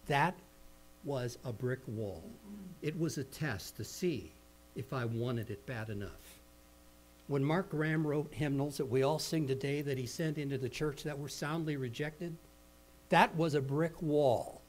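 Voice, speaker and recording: Middle-aged American preacher, noisy recording. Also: male voice, medium pitch, a little slow. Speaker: pastor. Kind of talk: sermon with slides, measured public speaking. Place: indoor meeting hall at a lectern. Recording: noisy live hall recording with hum.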